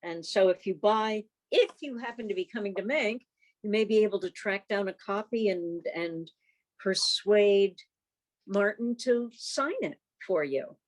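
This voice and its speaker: A woman's voice: mid-range voice, unhurried. A woman chairing an informal club meeting over a video call, chatty and rambling with wry asides.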